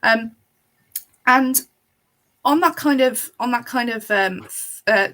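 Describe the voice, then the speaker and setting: A woman co-hosting a casual discussion, affirming her guest and then building on points with measured remarks. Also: fairly high pitch, fairly bright tone. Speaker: co-host.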